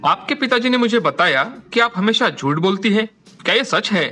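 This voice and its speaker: A man voicing a polite, respectful young man of humble means in an animated moral story. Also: sits high, moderate pace, soft background music, heavily acted. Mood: polite, persuasive.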